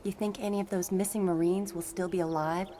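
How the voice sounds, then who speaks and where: An actress playing a young telepathic woman in a motion comic, tender, wry and fatalistic, over vehicle rumble. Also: fairly even intonation, fairly quick, mellow, lightly acted. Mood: wry, uneasy. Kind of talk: dramatic motion comic voice acting.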